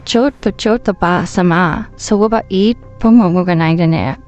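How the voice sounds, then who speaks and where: A woman playing a sharp, suspicious young hacker in a comedic voice drama, speaking in Tsugaru dialect in a blunt, scolding manner. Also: on the low side, moderate pace, muffled recording, soft background music, natural voice. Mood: menacing.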